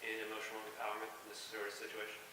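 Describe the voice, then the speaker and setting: A man's voice: on the low side, monotone, very fast. A man who works as a teacher and coach, politely asking a question from the audience. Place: large echoey hall at a school event. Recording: very echoey hall, distant, hissy.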